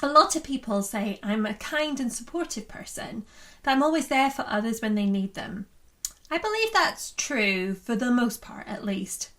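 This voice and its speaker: Casting: female reader with a raised pitch, for scripted first-person narration of young writers' work. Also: sing-song, normal speed, a bit bright. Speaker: reader at a book launch. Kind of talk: scripted reading of a pupil's personal essay.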